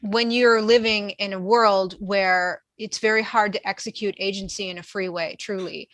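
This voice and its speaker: A woman's voice: medium pitch, steady tone, normal speed, fairly bright tone, no echo. Woman on a panel, kinda academic, super dry sound. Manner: earnest, academic wording. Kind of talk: panel discussion.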